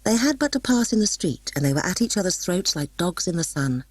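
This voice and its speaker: Low, husky woman narrating a story. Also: brisk, no echo. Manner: dramatic, expressive storytelling. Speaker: audiobook narrator.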